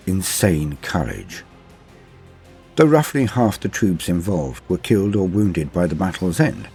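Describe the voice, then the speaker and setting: A man giving a solemn, measured dramatic reading of science-fiction war lore in a formal storytelling style. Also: low voice, warm tone, slightly rough. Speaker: narrator.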